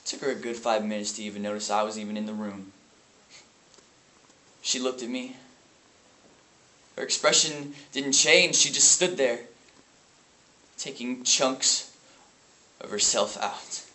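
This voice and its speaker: A man performing a dramatic stage monologue as a grieving, traumatized brother, anguished and bitter, speaking in halting phrases with heavy pauses. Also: mid-range voice, pushed and bright, recorded from a distance. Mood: bitter, haunted. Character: grieving, traumatized brother bitter about his abusive family. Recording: echoey room with faint noise.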